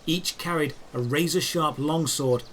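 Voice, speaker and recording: A man narrating a scripted first-person fantasy adventure in a dramatic, deliberate, descriptive way. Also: a little high, fairly bright tone, a little hiss or hum.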